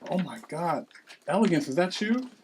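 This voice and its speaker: A man's voice: sits high, lively intonation, moderate pace, background noise, voice pushed up. A man playing a fierce, long-captive mother in a script read-through, emphatic and accusing. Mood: emotional disbelief.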